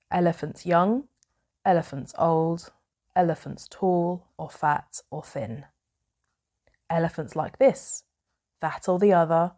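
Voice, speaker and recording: Slow-paced woman reading a bedtime story. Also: deep voice, mellow. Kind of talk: read-aloud children's bedtime story narration.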